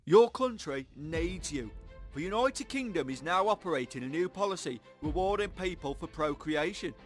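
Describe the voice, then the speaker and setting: An actor playing a patriotic government announcer, emphatic and declamatory, in a propaganda radio spot. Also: male voice, on the high side, animated, normal speed, faint music behind, lightly acted. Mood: rousing, official.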